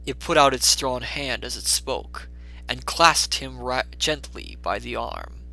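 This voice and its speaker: A man's voice: mid-range voice, measured pace, creaky, bright, forceful tone, rough recording. A man narrating a classic story in a dramatic audiobook style.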